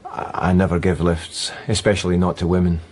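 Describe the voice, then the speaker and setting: A low-pitched, prim and odd man under suspicion as played by an actor in a radio crime drama. He speaks stiffly and formally, with hesitations and a contempt for women, over faint background noise. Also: level tone, brisk, darkish tone, lightly acted. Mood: stiff, defensive. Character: odd, woman-hating male murder suspect, stiff and prim.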